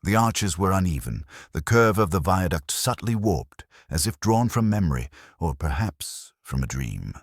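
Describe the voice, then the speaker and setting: Male narrator, extremely deep, lively intonation, scripted mystery storytelling, close dry sound. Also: moderate pace, few pauses, dry recording.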